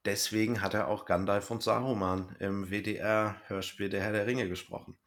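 A man hosts a relaxed, conversational podcast review. He comments as a keen fan, in an informal chatty style. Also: on the low side, normal speed. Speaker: podcast host discussing an audio drama.

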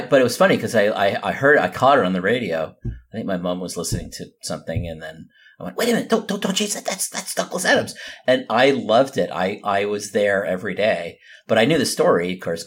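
A man talking casually on a podcast, feeling out his thoughts in tentative, halting phrases. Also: lowish voice, big pitch swings, gravelly, a bit bright, breathy edge. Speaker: podcast co-host.

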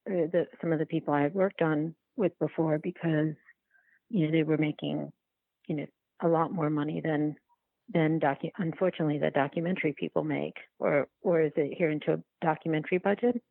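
Low-pitched woman in a roomy, muffled recording. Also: fairly even intonation, creaky, husky. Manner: halting, searching for words, repeats herself. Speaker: documentary filmmaker, interview guest. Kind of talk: reflective interview conversation. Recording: roomy, band-limited remote-call sound.

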